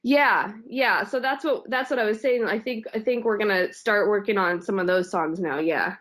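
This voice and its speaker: A woman's voice: medium pitch, normal speed, fairly bright tone. Woman singer chatting with many "you know"s. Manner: hesitant, many fillers and restarts. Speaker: singer in a cover band, guest. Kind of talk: casual interview chat.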